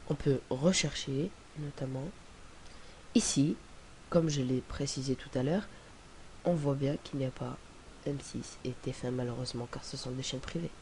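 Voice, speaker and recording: A young man with a cold, talking through a casual screen-recorded software tutorial in a rambling, hesitant way. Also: mid-range voice, moderate pace, poor audio. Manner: rambling, hesitant, many fillers and restarts. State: has a cold. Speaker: tutorial presenter. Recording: faint hiss on home recording.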